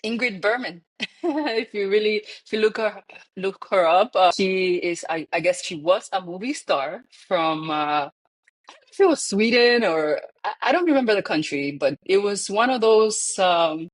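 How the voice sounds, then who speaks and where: Lively Dominican woman telling stories. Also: medium pitch, normal speed. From Dominican Republic. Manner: animated storyteller, laughs often, acts out quoted people. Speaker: guest, real estate founder. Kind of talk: conversational interview.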